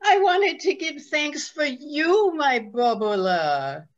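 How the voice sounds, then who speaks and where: A woman's voice: high voice, animated, slow pace. A woman playing an eager, thankful interrupter in readers' theatre over a muffled video call. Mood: eager, emotional.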